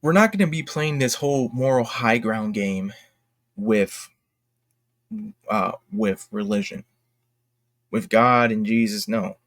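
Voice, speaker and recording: Low-voiced man giving halting, unscripted commentary. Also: a bit bright, no room sound, clean studio sound. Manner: halting, self-correcting, with repeated phrases. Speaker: host of an online commentary video. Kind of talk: unscripted opinion commentary from notes.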